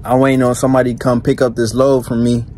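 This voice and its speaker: A man's voice: medium pitch, flat delivery, fast, a little mellow, a little hiss or hum. A man in his thirties, a truck driver vlogging late at night in his cab, talking in a laid-back, slangy way into a phone held close. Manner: laid-back, slangy, keeps saying "you know what I'm saying". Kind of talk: casual late-night vlog. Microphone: phone held close at arm's length.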